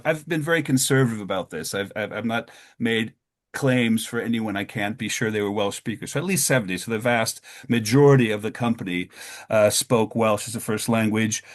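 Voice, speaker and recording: A man giving a history talk over slides, with many fillers and restarts, his pitch lifting at each new point. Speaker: lecturer.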